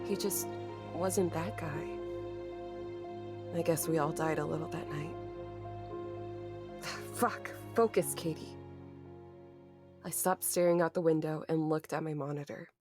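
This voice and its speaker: A woman's voice: sits low, fairly even intonation, slow pace, long pauses. An actress playing a grieving, anxious young woman, narrating a horror audio drama in an introspective, bitter, sweary manner. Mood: sad, reflective. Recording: music behind the voice. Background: music.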